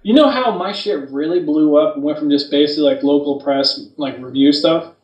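A man's voice: a little high, brisk, a little mellow. A man co-hosting a podcast, talking casually and bluntly in slangy, unscripted language. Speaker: podcast co-host. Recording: roomy, distant from the microphone.

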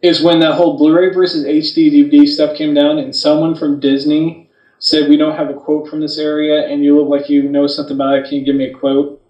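A man's voice: fairly high pitch, flat delivery, fairly quick, darkish tone, muffled recording. A man co-hosting a podcast, talking casually and bluntly in slangy, unscripted language. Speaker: podcast co-host. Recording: roomy, distant from the microphone.

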